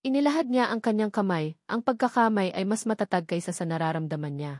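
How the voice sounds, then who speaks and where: A woman's voice: medium pitch, quick pace, darkish tone, no room sound, clean studio sound. A woman with a Filipino accent reading a scripted romantic story as a dramatic audiobook narrator.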